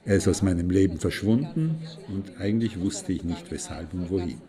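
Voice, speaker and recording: Man as a deep-voiced former friend. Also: lively intonation, moderate pace, dark tone. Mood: matter-of-fact. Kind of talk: short testimonies in an audio drama collage.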